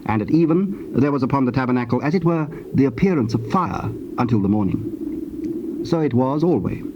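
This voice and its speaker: A man narrating dramatized scripture in a formal, measured manner. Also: medium pitch, expressive. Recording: dull, noisy cassette tape.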